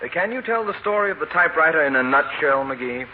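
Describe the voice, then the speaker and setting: A man's voice: a little high, lively intonation, quick pace, natural voice. Pompous small-town mayor played by a man, old radio. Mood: urbane, pleased. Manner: urbane, pompous. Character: genteel, self-important male small-town mayor. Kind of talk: 1940s radio comedy acting. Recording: tinny, noisy old radio broadcast.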